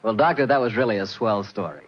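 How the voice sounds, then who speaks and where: A man's voice: on the low side, fast, slight background noise. An American man announcing a 1940s sponsored radio show in a folksy, old-time broadcast style. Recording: dull vintage radio broadcast, music behind.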